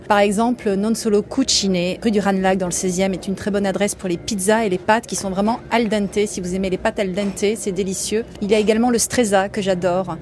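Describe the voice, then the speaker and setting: Unhurried mellow-voiced woman, fondly reminiscing. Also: medium pitch, a little hiss or hum. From France. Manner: unhurried, fond, lists sensory details with soft hesitations. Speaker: model speaking on a podcast. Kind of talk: relaxed personal monologue.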